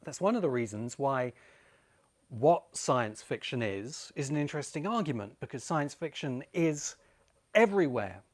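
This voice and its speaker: A British man in his forties presenting an informal explanatory talk as host, speaking emphatically and deliberately with pauses for effect. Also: mid-range voice, expressive. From Great Britain. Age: 40s. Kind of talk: informal explanatory video essay.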